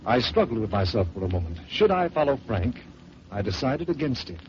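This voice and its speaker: A man hosting an old radio storytelling show, reading a ghost story aloud in a measured, theatrical way. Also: mid-range voice, big pitch swings. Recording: muffled old radio broadcast with hiss.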